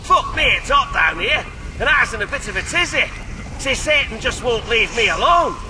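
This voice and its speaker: A man playing a crude, foul-mouthed heckler in a comedy sketch, brash and yelling, on a very noisy recording. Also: very high voice, very animated, normal speed. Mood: agitated.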